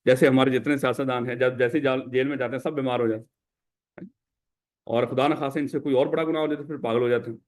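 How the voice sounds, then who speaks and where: A man's voice: medium pitch, steady tone, quick pace. A man with a Pakistani Urdu accent teaching a classroom lecture, who explains by putting questions to his students.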